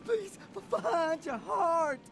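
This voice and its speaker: A man voicing a meek, frightened young male vampire in a video game, stuttering tearfully and pleading in halting apologies. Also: very high-pitched, animated, gravelly, a bit bright, full character voice. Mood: sobbing, begging. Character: terrified, meek, stammering young male vampire begging for mercy.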